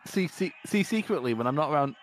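A man's voice: fairly high pitch, lively intonation, fast talker, slight background noise, poor audio. A young Scottish man co-hosting a podcast, chatting casually while watching a match, swearing a lot and laughing often. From Scotland. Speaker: podcast co-host.

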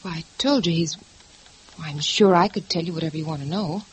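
An anxious young wife. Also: female voice, sits low, a little fast, husky. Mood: nervous. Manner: anxious, hesitant, soft-spoken. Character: nervous young wife covering for husband. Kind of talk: 1950s radio drama acting. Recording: old radio broadcast with hiss.

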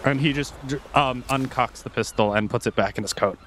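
A man running a tabletop roleplaying game as game master, describing scenes in an unhurried way. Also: mid-range voice, a bit bright, slight background noise.

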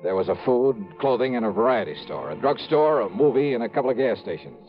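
An actor playing a savvy insurance investigator in a 1950s radio drama, speaking and narrating in a wry, clipped, casual way. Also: male voice, mid-range voice, animated, quick pace, soft background music, close to an everyday voice. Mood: brisk narrating. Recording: dull vintage radio recording.

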